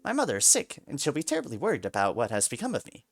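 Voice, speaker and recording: A man's voice: medium pitch, huge pitch swings, racing, gravelly. A man voicing a sly talking mouse that pleads politely and later turns into a boastful griffin. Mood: pleading. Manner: pleading and overly polite, then gloating.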